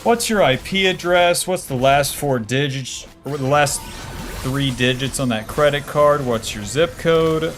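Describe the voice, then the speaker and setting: A man's voice: mid-range voice, a little slow, a bit bright, soft background music. A man in his twenties streaming a game, talking casually and bluntly, cursing freely and answering chat questions aloud. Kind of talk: casual livestream chat while playing.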